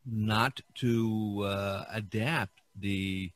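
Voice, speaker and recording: A man hosting a casual podcast, talking in a halting way with broken phrases. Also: fairly low pitch, steady tone. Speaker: podcast host.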